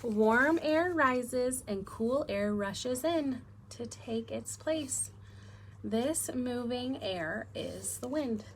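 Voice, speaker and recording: A woman's voice: on the high side, expressive, drawn-out words, a little hiss or hum. A woman teacher doing a read-aloud lesson for young children, instructive and often asking them questions. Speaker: elementary school teacher.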